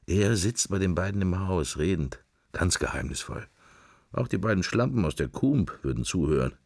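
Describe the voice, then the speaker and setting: A brooding middle-aged man lost in furtive, sensual thoughts for a radio play. His very low voice is husky and full of creak. He muses in murmured fragments, drifting from one thought to the next. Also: expressive, normal speed, a little mellow, no room sound, studio quality, exaggerated voice. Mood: musing. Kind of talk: radio drama interior monologue.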